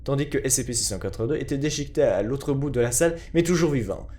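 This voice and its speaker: A man's voice: medium pitch, lively intonation, fast talker, darkish tone, slight background noise. A male narrator with a mid-range voice reads from a script. His delivery is formal and clinical, in the manner of an official report.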